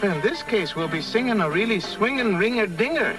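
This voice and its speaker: A man's voice: sits high, expressive, fairly quick, distant mic, over music, low-quality recording. A man voicing an upbeat, bossy young British musician in a 1960s cartoon, with a chipper, coaxing manner. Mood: brisk.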